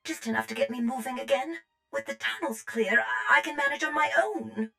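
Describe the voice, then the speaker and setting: A woman voicing a damaged medical droid in a video game, anxious, polite and pleading, with halting delivery. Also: on the high side, creaky, sharp tone, faint music behind, full character voice.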